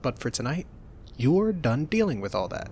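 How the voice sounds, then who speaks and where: A young man doing an ASMR roleplay as a roommate, talking softly in a teasing, reassuring way. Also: medium pitch, big pitch swings, moderate pace, vocal fry, slight background noise.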